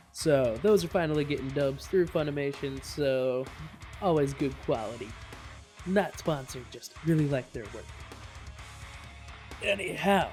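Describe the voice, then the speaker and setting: A man hosting a reaction video, chatting casually to viewers and swearing freely. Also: medium pitch.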